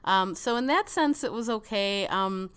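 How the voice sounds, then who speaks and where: A woman's voice: medium pitch, expressive, a little slow, a bit bright, clean tone. A woman with a mild Chicago accent, born in the Soviet Union, talking as an interview guest in a loose, chatty way full of fillers and restarts. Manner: chatty, many fillers and restarts, laughs between thoughts. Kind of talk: casual interview conversation.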